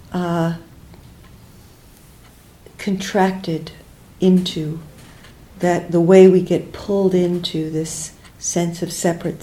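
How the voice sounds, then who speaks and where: A woman leading a guided meditation as a teacher, speaking gently and unhurriedly in short phrases with pauses between them. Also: lowish voice, rough recording.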